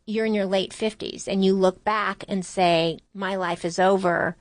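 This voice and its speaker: A woman's voice: medium pitch, steady tone, a little slow, no echo. A woman talking conversationally and emphatically, giving motivational advice.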